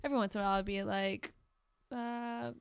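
A woman's voice: medium pitch, fairly even intonation, brisk, smooth, no room sound, studio quality. A woman with an American accent co-hosting a podcast in casual, chatty, joking banter. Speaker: podcast co-host.

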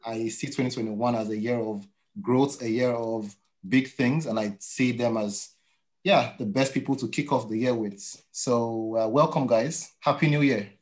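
A man's voice: mid-range voice, fairly even intonation, normal speed. Male interview host, mid-range voice, casual and conversational.

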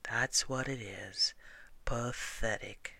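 A storybook reader voicing an old grey donkey who speaks in a mournful, resigned way with flat sarcasm. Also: medium pitch, creaky, dry recording, full character voice. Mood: gloomy, resigned. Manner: mournful, slow, flatly sarcastic. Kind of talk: children's storybook character reading.

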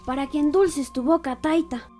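High-voiced vengeful village mother played by a woman. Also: moderate pace, dark tone, soft background music, natural voice. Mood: resolute, vengeful. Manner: deferential yet determined. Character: vengeful grieving village mother hiring a killer. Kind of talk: radio drama acting.

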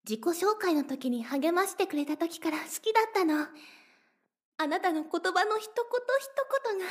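Very high-voiced obsessive lovestruck girl. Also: moderate pace. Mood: obsessive adoration. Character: obsessively lovestruck young woman, possessive, sweetly menacing captor. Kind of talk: Japanese anime-style voice drama acting.

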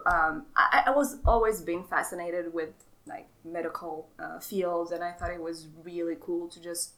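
A woman's voice: fairly low pitch, rough recording. Giggly woman searching for words. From Morocco. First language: Arabic. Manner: chatty, giggly, self-deprecating, searches for words. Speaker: guest. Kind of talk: casual interview.

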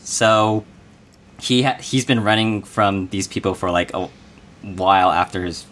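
A man's voice: fairly low pitch, a little slow, a bit bright, slight background noise. A man chatting casually as a podcast co-host, explaining and reviewing in an informal way. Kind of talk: casual anime review chat.

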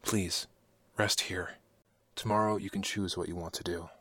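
Woman voicing a deep-voiced kind old male wizard, husky, hushed and gentle. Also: steady tone, no room sound. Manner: soft, slow and gentle, often near a whisper.

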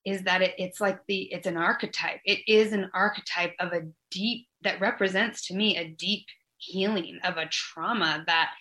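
A woman's voice: lowish voice, bright, forceful tone. A woman hosting a podcast interview, talking reflectively and searching for words, with spiritual language. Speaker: podcast host.

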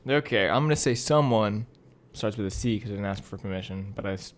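A man's voice: lowish voice, a little fast. A young American man co-hosting a podcast, chatting casually with a joking, teasing manner and frequent interjections. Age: young adult. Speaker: podcast co-host. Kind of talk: casual chat.

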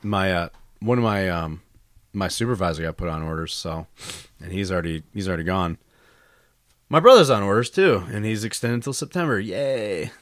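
A man's voice: deep voice, lively intonation, normal speed, fairly bright tone, no echo, studio quality. A man hosting a podcast, chatting casually in a rambling, crude way and swearing a lot. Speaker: podcast host. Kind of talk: casual chat. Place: indoor room recording a podcast. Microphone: studio mic he monitors himself.

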